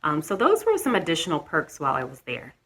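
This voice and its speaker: A woman's voice: low voice, huge pitch swings, fast talker, fairly bright tone. A young American woman talking casually to the camera, chatty, with frequent restarts and light laughs. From United States. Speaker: vlogger.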